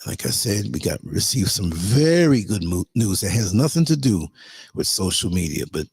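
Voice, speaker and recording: A hoarse man hosting a livestream, reading a list of tips and then rambling casually to his viewers. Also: lowish voice, big pitch swings, normal speed, a little mellow, no room sound. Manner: rambling, chatty, addresses viewers as y'all. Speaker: livestream host.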